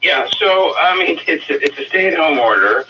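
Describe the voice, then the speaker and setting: A man answering radio interview questions as a city councillor, in a halting, measured, diplomatic way. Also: fairly high pitch, lively intonation, over a noisy crowd. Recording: dull distant radio playback, faint noise.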